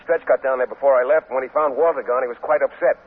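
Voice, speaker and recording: A man playing a mild, polite, hurried schoolteacher in a 1950s radio comedy. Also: medium pitch, fairly even intonation, close to an everyday voice. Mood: hurried, apologetic. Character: mild, polite, oblivious bashful male schoolteacher. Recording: narrow, muffled 1950s radio recording.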